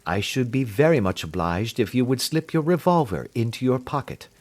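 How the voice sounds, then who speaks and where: A man doing a calm, wry detective. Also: mid-range voice, moderate pace, natural voice. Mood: explaining. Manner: quiet, bland, imperturbable. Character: calm, amused, clever male detective.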